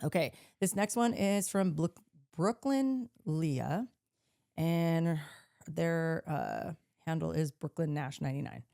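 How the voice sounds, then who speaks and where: A woman with a deeper voice co-hosting a podcast, chatting casually, reading out listener messages and laughing as she swears playfully. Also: sing-song, takes it slowly, gravelly, dark tone. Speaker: podcast co-host. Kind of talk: casual chat.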